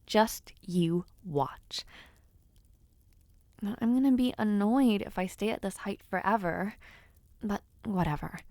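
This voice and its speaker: A woman acts out a young highborn girl in an intimate fantasy audio drama. She speaks slowly and softly, with a sweet, playful temper and small hesitations. Her mid-pitched voice sits in a very dry, intimate recording. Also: no room sound. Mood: playful, chatty. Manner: soft, hesitant, gently teasing.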